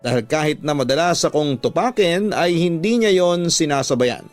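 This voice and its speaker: Male Filipino radio drama narrator, slightly high voice, reading a confessional letter in a dry studio over soft music. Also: expressive, normal speed, dry recording. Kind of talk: scripted dramatic narration of a confessional love story. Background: background music.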